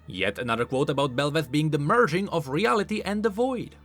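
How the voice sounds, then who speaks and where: A man with a fairly high voice delivers game lore commentary over faint music. His delivery is informal and emphatic, a scripted explainer loosened by casual asides. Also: lively intonation, fairly quick. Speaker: video essay host, game lore commentator. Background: background music.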